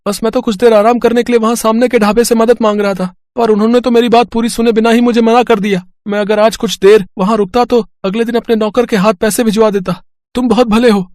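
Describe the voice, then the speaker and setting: A man's voice: extremely high pitch, little pitch movement, fast, a little mellow, no echo. A man playing a wealthy traveller husband in a moral story, earnest and pleading in manner. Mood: grateful, reassuring. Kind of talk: dramatised moral story acting.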